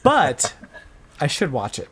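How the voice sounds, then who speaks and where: A man's voice: on the high side, wildly swooping pitch, slow, fairly bright tone, a little hiss or hum. A man hosting a podcast in a casual chat, informal and emphatic, repeating himself to make a point. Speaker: podcast host.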